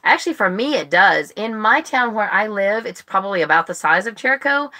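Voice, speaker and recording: A woman's voice: medium pitch, animated, a little fast, bright, forceful tone. An American woman hosting a book club live stream, chatting casually in a rambling way. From United States. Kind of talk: casual chat. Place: live stream, speaking to viewers online.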